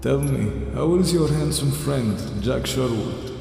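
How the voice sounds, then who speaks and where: An actor in an audio drama playing a gentle, fatherly older mentor who speaks in an unhurried, coaxing, unsettling way. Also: medium pitch, creaky, a little hiss or hum. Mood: soothing, fatherly. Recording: echoey reverberant hall, music underneath.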